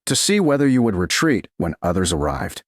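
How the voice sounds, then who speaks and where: Man playing a stern, low-voiced medieval duke. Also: fairly quick, no room sound, studio quality. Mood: probing. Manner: calm, formal, terse questioning. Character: stern, reserved medieval duke, calm and probing.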